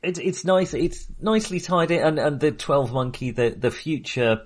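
A man co-hosting a casual film discussion, speaking in a halting, self-correcting way. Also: on the high side. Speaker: podcast co-host.

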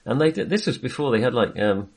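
A man's voice: medium pitch, animated, fast talker, breathy edge. A man co-hosting a film podcast, chatting casually and tentatively, often putting things as questions.

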